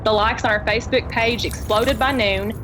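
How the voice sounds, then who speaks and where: A woman playing a shaken office worker giving halting, melodramatic eyewitness testimony in a comedic audio drama. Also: a little high, steady tone, noisy background, lightly acted. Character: shaken female office employee, overwhelmed disaster survivor.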